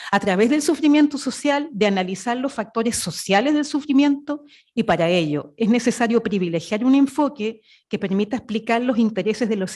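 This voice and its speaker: Chilean lady academic giving a seminar talk, kinda high voice, really stresses her points. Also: darkish tone. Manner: emphatic, didactic, with rhetorical questions and check-in tags. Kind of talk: academic lecture, part read and part improvised.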